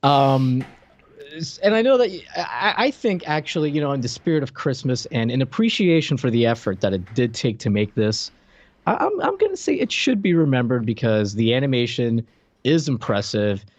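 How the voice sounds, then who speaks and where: Lively man, playful, dull dry recording. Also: medium pitch, moderate pace, dry recording. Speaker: podcast co-host. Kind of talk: casual chat. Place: room at home, on a video call. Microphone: desk microphone up close. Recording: dull, close dry mic.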